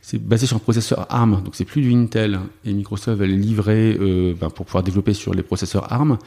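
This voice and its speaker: A man whose first language is French, a software developer guest in a casual interview, talking with many fillers and restarts. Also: fairly low pitch, steady tone, a little fast.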